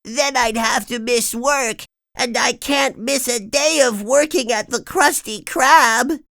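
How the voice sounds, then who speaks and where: Woman voicing sick cartoon sponge boy: very high, nasal, stuffy, slow delivery, dry read. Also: a bit bright, no room sound. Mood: sick, pleading. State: sniffly with a cold. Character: cheerful young male sea sponge, eager. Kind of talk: storybook read-aloud.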